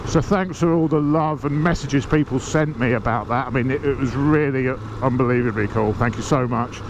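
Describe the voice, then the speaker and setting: A man's voice: mid-range voice, a little fast. A man talking casually while riding a motorbike, chatty and cheeky with the odd swear word, snuffly from hay fever. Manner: chatty, cheeky and self-mocking, swearing now and then. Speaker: motorcycle vlogger. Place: riding a motorbike outdoors on urban roads. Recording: loud wind and traffic noise.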